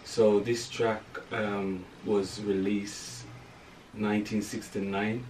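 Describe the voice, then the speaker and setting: Male guest, younger adult, lowish voice, conversational, echoey room with faint noise. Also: level tone, slow, a little mellow, far from the mic. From Jamaica. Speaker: band frontman, interview guest. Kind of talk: casual interview chat. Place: small room, indoors.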